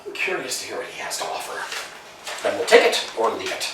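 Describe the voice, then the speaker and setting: A fussy, anxious tramp, played by a man, with animated, rising and falling delivery and quick retorts. The voice is distant and washed in a strong room echo. A faint hiss runs behind. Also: mid-range voice, vocal fry. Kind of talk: staged play reading.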